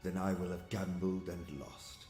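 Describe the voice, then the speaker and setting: A man playing a calm, exiled alien warrior in an audio comic drama, speaking formally and precisely, with courteous, calculating composure. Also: low voice. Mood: composed, confident. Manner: formal, measured, precise phrasing. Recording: music behind the voice.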